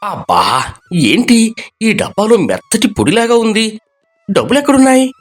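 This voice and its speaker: A woman's voice: a little high, big pitch swings, normal speed. A woman voicing a hapless male village thief in a story, grumbling and wailing melodramatically. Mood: baffled.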